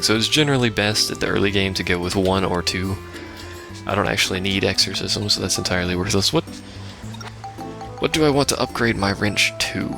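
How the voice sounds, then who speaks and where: A man's voice: on the low side, creaky. A man giving casual, rambling gameplay commentary with dryly sarcastic asides, as a let's play host. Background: game music and sounds.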